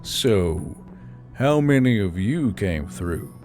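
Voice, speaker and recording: A man voicing a wary, blunt male soldier in an audiobook, speaking low, understated and to the point. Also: sing-song, slow, a little mellow, faint music behind. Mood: probing, blunt.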